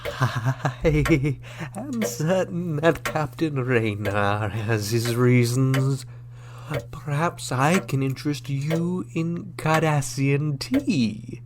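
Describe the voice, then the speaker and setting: A man playing a sly, suavely polite Cardassian ambassador in a sci-fi radio drama, with measured, insinuating courtesy. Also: mid-range voice. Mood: smugly polite. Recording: faint background hum and noise.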